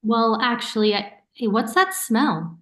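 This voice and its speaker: A woman's voice: fairly high pitch, lively intonation, a little slow, a bit bright. A woman acting in a naturalistic play reading as a caring, teasing and watchful sister. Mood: suspicious. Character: caring, teasing, suspicious sister of a young man. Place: remote online staged reading.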